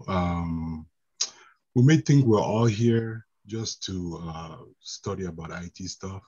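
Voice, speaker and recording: A deep, slow-speaking man joins a webinar as a student in an IT program. His voice is a bit husky and the call audio sounds dull, and he pauses often to think. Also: darkish tone. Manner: thoughtful and unhurried, many filler pauses. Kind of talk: webinar participant sharing thoughts. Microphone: video call.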